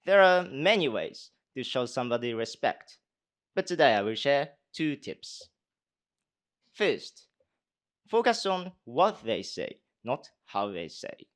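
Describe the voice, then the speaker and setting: A young man in his twenties, a native Japanese speaker, using a posh British accent in a contest speech. His voice sits in an ordinary middle range. In unscripted answers he pauses often and fills gaps with hesitations. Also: mid-range voice, very animated. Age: 20s. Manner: hesitant with many fillers when answering. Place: conference hall.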